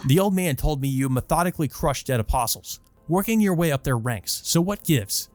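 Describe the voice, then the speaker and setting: A man's voice: a little high, lively intonation, brisk, a little mellow, no room sound, soft background music, natural voice. A man voicing a foul-mouthed, hot-tempered male vampire hunter who curses and snaps at everyone. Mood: angry. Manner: cursing, gruff, aggressive.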